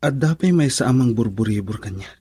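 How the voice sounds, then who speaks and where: A man with an Ilocano accent playing a troubled, earnest father in a radio drama, with a husky voice. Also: mid-range voice, animated, brisk, mellow. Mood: troubled, pleading.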